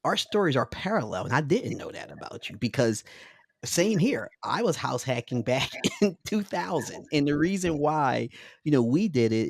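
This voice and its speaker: A man hosting a podcast interview in a relaxed, chatty way, with many fillers and restarts. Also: medium pitch, no echo, studio quality. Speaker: podcast host. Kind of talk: casual interview chat.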